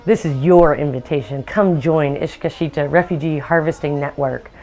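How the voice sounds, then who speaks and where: A woman's voice: low voice, moderate pace, darkish tone, faint music behind. A woman volunteer organizer speaking an inviting appeal to the community. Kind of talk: appeal to camera.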